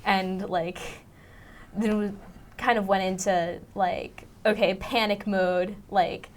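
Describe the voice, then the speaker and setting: A woman's voice: medium pitch, steady tone, takes it slowly, a little hiss or hum. A young woman, a student actor, chatting in a studio interview in loose, informal speech full of fillers. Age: teens to young adult. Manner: informal, filler-heavy youthful talk. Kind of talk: casual interview chat.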